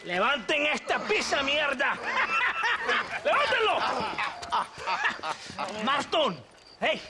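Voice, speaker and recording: An actor playing a gloating, treacherous army captain with a Mexican accent, theatrical and taunting, in a video game. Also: male voice, very high-pitched, huge pitch swings, takes it slowly, heavy background noise, heavily acted. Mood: gloating, menacing. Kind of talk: villainous video game acting.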